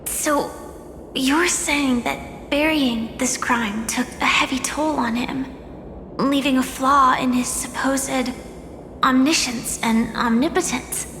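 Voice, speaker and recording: Earnest young heroine, high-pitched, soft-spoken, wary yet resolute, video game cutscene, long reverb with music. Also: female voice, fairly even intonation, slow, slight background noise, heavily acted. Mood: thoughtful. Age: young adult.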